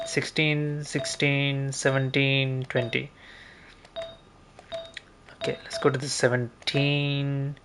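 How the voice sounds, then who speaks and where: A man's voice: mid-range voice, completely flat delivery, slow, long pauses, fairly bright tone, a little hiss or hum. A man giving casual gameplay commentary as a streamer, muttering to himself. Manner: muttering to himself, mild swearing. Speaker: gaming streamer. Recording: music playing behind the voice. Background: music.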